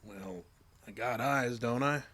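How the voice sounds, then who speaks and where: A man's voice: mid-range voice, slow, a bit bright. An American man playing a weary, plainspoken fishing guide with a folksy, uneasy manner in a horror audio drama. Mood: nervous, confused. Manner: folksy, ungrammatical storytelling.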